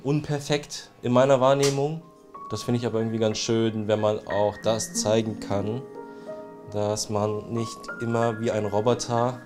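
A man in his thirties from Germany, a ceramic artist, speaking in a TV interview, hesitant with many fillers and restarts. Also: fairly low pitch. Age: 30s.

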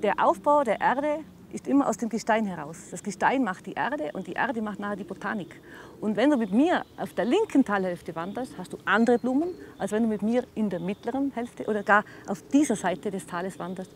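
A woman's voice: medium pitch, expressive, fairly quick, slight background noise. A woman from the Austrian Alps speaking standard German with a Walser Alemannic accent, explaining things casually and instructively as a local hiking guide. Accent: Kleinwalsertal Alemannic, speaking standard German. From Kleinwalsertal, Austrian Alps. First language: Walser German dialect. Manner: instructive, often checks with her listener. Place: outdoors on a mountain trail.

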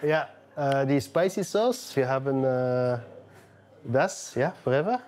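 A middle-aged man from Belgium with a Belgian accent, speaking halting non-native German in short, agreeing casual replies. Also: medium pitch, lively intonation, darkish tone. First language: not German. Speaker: chef, guest cook. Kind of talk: casual chat.

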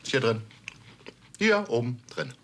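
An actor playing a rough-edged police detective who talks bluntly and sardonically, in a crime drama. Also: male voice, medium pitch, big pitch swings, a little slow, fairly bright tone, a little hiss or hum. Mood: sardonic. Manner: gruff, blunt, wisecracking. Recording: music under dialogue.

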